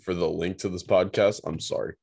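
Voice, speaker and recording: A man's voice: deep voice, fairly even intonation, brisk, gravelly, darkish tone, husky, dry recording, studio quality. A hungover man co-hosting a podcast, laid-back and laughing often. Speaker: podcast co-host. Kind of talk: casual podcast chat.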